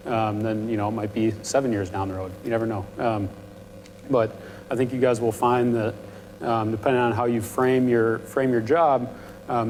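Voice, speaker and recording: A young man speaks at a university panel in a low voice, half-mumbling and full of ums, in a friendly, casual way. His words ring out with a long reverb from the lecture hall. His pitch sits in an ordinary middle range. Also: a little mellow. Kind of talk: presentation to students.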